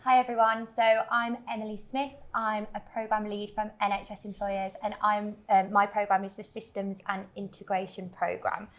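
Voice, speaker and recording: A woman's voice: mid-range voice, flat delivery, normal speed, few pauses. A woman from Birmingham introducing herself on a panel as a programme lead, in an upbeat, plain way. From Birmingham, England.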